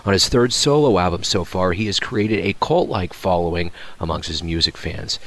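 A male jazz radio host with a lowish voice speaks in a smooth, unhurried announcer style. He reads his scripted introductions from a radio studio. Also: fairly bright tone.

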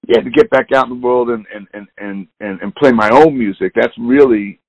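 A sixty-year-old musician with a gravelly, creaky voice speaks over a dull, lo-fi line. His talk is loose and candid, and it wanders as he goes. The sound is dry, with no room around it. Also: medium pitch, a little fast, dry recording. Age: 60s. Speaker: musician guest. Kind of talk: casual interview chat.

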